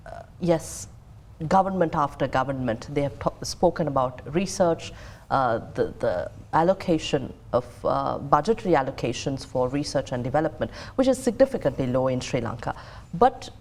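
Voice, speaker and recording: A woman hosting a talk show, asking measured questions with many fillers. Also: deep voice, big pitch swings, darkish tone. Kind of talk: interviewing.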